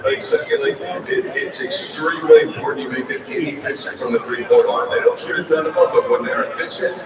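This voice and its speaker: A man's voice: very high voice, wildly swooping pitch, fairly quick. A man hosting a sports pregame radio show, interviewing and giving commentary. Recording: echoey arena PA sound, far off, crowd noise. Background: crowd in arena.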